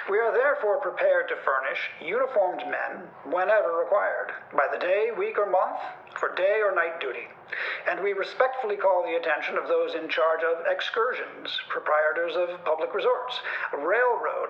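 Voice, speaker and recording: A man's voice: high-pitched, lightly acted. A man voicing a formal Victorian detective agency superintendent, reading a business circular to clients in a declamatory manner. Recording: muffled, roomy, old radio-like sound.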